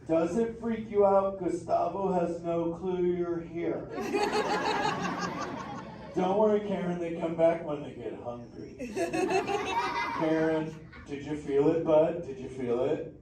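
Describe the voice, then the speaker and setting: An exuberant surfer dude turtle, pitched high and swooping all over. He banters goofily in drawling slang at a live theme park show. The sound is echoey and picked up from a distance. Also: heavy background noise, full character voice. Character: laid-back surfer dude sea turtle, exuberant adult male.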